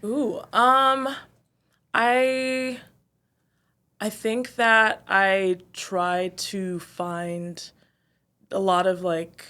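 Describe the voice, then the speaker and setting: A young woman from Zambia talks casually as an interview guest, with a mid-pitched voice. She muses out loud, fills pauses with "um" and "like", and laughs easily. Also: level tone, smooth. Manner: thoughtful, many fillers and "like", laughs often. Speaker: singer and guest.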